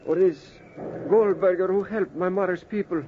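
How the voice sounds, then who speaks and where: An actor playing a wounded, dazed soldier, strained and halting, in 1940s radio drama style. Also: male voice, sits high, fairly even intonation, lightly acted. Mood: dazed, straining. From Puerto Rico. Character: wounded, dazed Puerto Rican soldier in pain. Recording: tinny, noisy 1940s radio recording.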